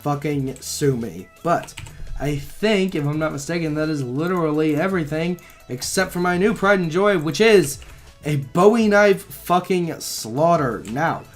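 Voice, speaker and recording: A slightly hoarse young man rambling through casual gameplay commentary, swearing freely, his mic held close to his mouth. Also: a little high, animated, measured pace, soft background music. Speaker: gaming channel host.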